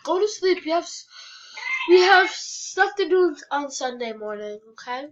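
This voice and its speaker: High, animated young girl, muffled mic. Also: unhurried. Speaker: player voicing a roleplay video. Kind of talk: casual pretend-play game chat. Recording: muffled, dull home mic.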